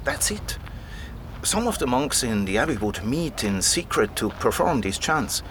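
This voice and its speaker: A man's voice: mid-range voice, big pitch swings, measured pace, a bit bright, slight background noise. An actor playing a keen, patient male scholar who explains things like a storyteller in an audio drama. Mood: keen, explaining. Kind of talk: conversational audio drama dialogue.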